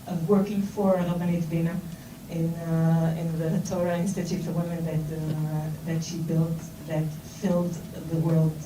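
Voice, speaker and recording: Halting Israeli woman, roomy hall sound. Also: sits low, flat delivery. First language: Hebrew. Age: middle-aged. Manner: halting, many fillers and restarts, conversational. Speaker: speaker at a seminar. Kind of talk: informal talk to students. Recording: roomy and distant, with noise.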